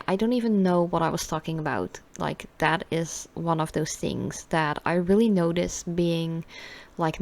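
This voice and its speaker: Chatty Dutch woman, rambling and soft. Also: on the low side. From Netherlands. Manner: chatty, many fillers, soft asides. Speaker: beauty vlogger. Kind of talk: rambling unboxing chat.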